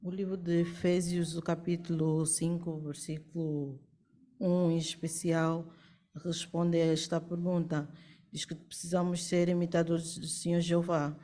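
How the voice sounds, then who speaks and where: A woman giving a prepared comment at a religious study meeting, deliberately, phrase by phrase. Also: on the low side, little pitch movement, mellow. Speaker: congregation member giving a comment.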